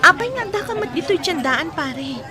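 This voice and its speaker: Woman acting a plaintive high-voiced young Filipino wife. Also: lively intonation, moderate pace. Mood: uneasy. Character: distressed young wife and mother wanting work. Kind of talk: Philippine radio drama acting.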